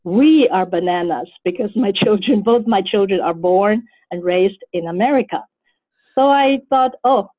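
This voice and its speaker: A woman in her seventies from Taiwan, an author answering interview questions thoughtfully, with frequent pauses and fillers. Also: mid-range voice. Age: 70s. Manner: thoughtful, hesitant, many fillers. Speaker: author guest. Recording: phone-like call line.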